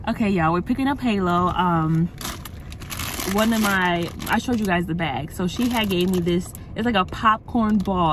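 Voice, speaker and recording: A young woman, chatting casually to camera in a vlog and calling her viewers y'all. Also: mid-range voice. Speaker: vlogger. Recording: noisy background.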